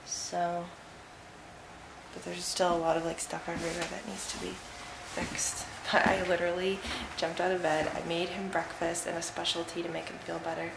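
A woman's voice: lowish voice, little pitch movement, normal speed, creaky. Young woman rambling on her vlog, handheld cam, bare echoey rooms, some background noise. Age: young adult. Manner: chatty, rambling with fillers. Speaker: vlogger. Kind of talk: casual vlog. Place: empty house with bare rooms, indoors. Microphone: handheld camera at arm's length. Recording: echoey empty room, audible noise.

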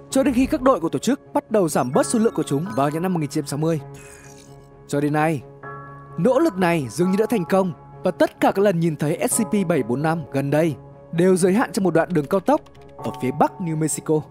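A man narrates a scripted horror explainer in a notably high voice. His delivery is dramatic and measured, with lively swings in pitch. Music plays behind him.

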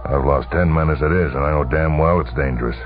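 A gruff middle-aged army general played by a male reader in a radio tale, with a very deep voice and blunt, impatient manner. The audio is thin like a phone line, with music underneath. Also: fast. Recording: tinny vintage radio broadcast, music behind.

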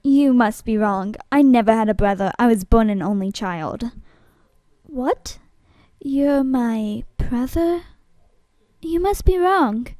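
A woman acting a bewildered, defensive girl in an amateur audition for a drama series, with dramatic, deliberate delivery. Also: on the high side, lively intonation, warm tone, dry recording, clean studio sound. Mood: disbelieving.

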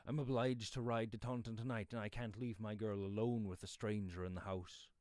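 A man voicing a gruff but kindly yeoman father in a storybook reading, with blunt, brisk, rustic speech. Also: on the low side, steady tone, a little mellow, no room sound, clean studio sound, close to an everyday voice. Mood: curt, firm. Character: gruff, kindly, quick-speaking yeoman father, brusque and dismissive. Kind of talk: audiobook character voice.

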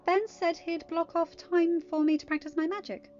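A blunt, sarcastic teenage girl of magic powers as read by a woman in an audio story. Her voice is very high and irritated, on a dull lo-fi recording with music behind it. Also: fairly even intonation, moderate pace, a little mellow. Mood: defiant.

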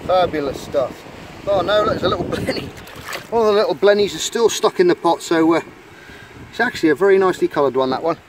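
A man's voice: high-pitched, fast talker. Chatty man on a windy beach. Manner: chatty and enthusiastic, quietly talking while handling creatures. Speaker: channel host. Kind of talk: casual nature vlog narration. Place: rocky beach rock pools outdoors. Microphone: camera held in hand at the shore. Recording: outdoor wind noise on mic.

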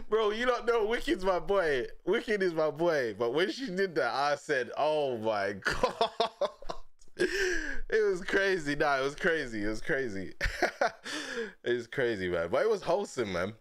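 A man, chatting casually on a stream from a small dim room through a headset, telling stories in relaxed slang and laughing often. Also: medium pitch, no echo, clean studio sound. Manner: laid-back slangy storytelling, laughs often.

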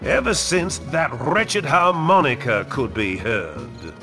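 A man voicing a fussy, secretive gentleman in a cartoon video game, speaking in a formal, theatrical way. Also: fairly high pitch, huge pitch swings, slow, music in the background, exaggerated voice. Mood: grave, evasive. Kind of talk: animated video game character acting.

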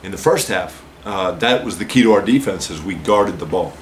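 A man in his forties with a slightly low voice gives postgame answers as a basketball coach. He talks in a measured, explanatory way, leaning on "uh" and "so". Faint noise hangs in the press room. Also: animated. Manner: measured, explanatory, many "uh" and "so" openers. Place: press room with a team backdrop, seated at a table.

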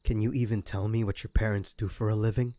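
A man's voice: fairly low pitch, level tone, quick pace, warm tone, no echo, close to an everyday voice. A man playing a smugly menacing, vengeful crime villain in an audio roleplay, with a mocking, theatrical delivery. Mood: bitter, menacing. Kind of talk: scripted ASMR audio roleplay.

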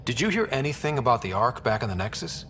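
A man's voice: mid-range voice, big pitch swings, quick pace, fairly bright tone, in a large hall, faint music behind. A man playing an earnest, level-headed young human explorer in a video game, speaking plainly and casually. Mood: reassuring.

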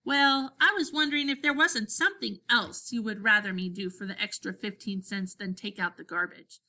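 A woman's voice: medium pitch, normal speed, bright, forceful tone. A woman voicing an eager, earnest young boy in a children's storybook. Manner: eager, bursting with insistence.

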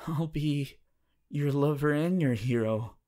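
A man playing a shy, devoted, easily flustered teenage boy hero in an intimate, halting romantic roleplay. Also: fairly high pitch, dark tone. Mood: tender, loving. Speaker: voice actor. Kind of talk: romantic roleplay audio, intimate fan performance.

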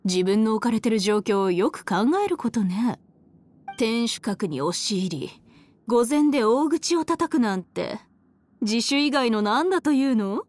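Icy noblewoman villain, anime game, highish pitch, lively intonation, condescending, mocking, drawn-out taunts. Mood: contemptuous, threatening. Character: haughty, cruel, elegant villainess harbinger, adult woman. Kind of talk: Japanese anime game voice acting. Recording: studio voice over game music.

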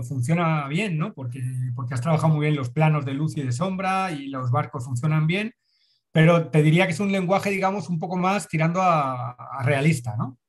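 A middle-aged man with a fairly high voice gives kind, rambling critiques of watercolors. He speaks over a video call from a room at home, restarting phrases as he hunts for the right word. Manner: encouraging, hesitant repeats. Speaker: watercolor teacher giving feedback.

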